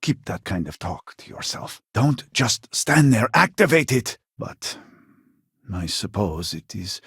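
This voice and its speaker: A man's voice: sits low, breathy edge, no room sound, studio quality, exaggerated voice. An actor playing an elderly, proud and impatient male scientist in a video game, speaking in a formal, theatrical way. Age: older.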